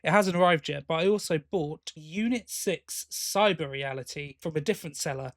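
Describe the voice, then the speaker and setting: Higher-pitched man chatting on a podcast. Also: normal speed, dry recording, clean studio sound. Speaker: podcast co-host. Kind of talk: casual podcast chat.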